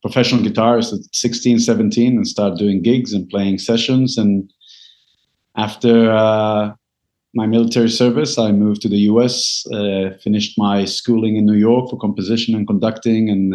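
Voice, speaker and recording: Man, low, reflective. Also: steady tone, moderate pace, darkish tone. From Israel. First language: Hebrew. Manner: reflective, with frequent hesitations. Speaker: guest composer. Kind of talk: interview answers.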